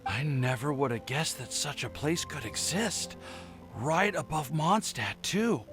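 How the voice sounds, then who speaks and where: A timid, wide-eyed young man from an anime game. His voice is high and swings around, yet he talks slowly and hesitantly. Music plays clearly behind him. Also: animated. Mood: wondering.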